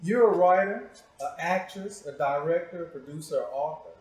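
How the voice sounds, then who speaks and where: Echoey higher-pitched male interviewer. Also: normal speed. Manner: probing, short direct questions and prompts. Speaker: show host interviewing a guest. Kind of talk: conversational interview. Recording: echoey room.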